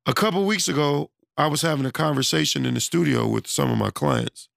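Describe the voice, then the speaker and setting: Gravelly-voiced man losing his voice. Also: fairly high pitch, expressive, fairly quick, fairly bright tone, no room sound, clean studio sound. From United States. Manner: loose, rambling, conversational, with many restarts and fillers. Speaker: self-employed studio owner. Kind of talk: casual monologue.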